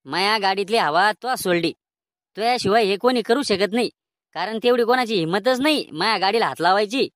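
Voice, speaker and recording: A woman voicing a gruff male village schoolteacher in a comedy cartoon, scolding and threatening in coarse rural Maharashtrian speech. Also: mid-range voice, fairly quick, close to an everyday voice.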